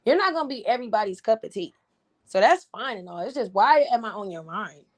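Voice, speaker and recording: A woman with a slightly raspy, somewhat high voice hosts a casual livestream chat. She talks bluntly and with animation, and she often laughs and breaks in with quick interjections. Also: expressive. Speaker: livestream host.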